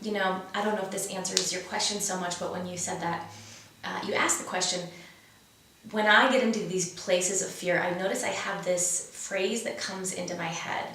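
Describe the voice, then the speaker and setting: A woman's voice: on the low side, steady tone, moderate pace, fairly bright tone, a little hiss or hum. A woman guest explaining her wellness program in earnest, casual conversation. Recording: echoey room, distant mic.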